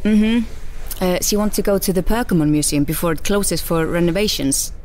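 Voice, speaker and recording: A woman's voice: on the low side, normal speed, a little mellow, a little hiss or hum, natural voice. A woman playing an easygoing café customer back from travels, talking hesitantly in a naturalistic audio drama. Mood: casual, relaxed.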